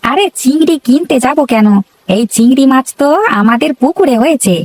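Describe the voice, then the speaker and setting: A woman's voice: high voice, a little fast, darkish tone, dry recording. A woman voicing a chatty, food-loving young village husband in a cartoon, full of exclamations. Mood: eager. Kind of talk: cartoon voice acting.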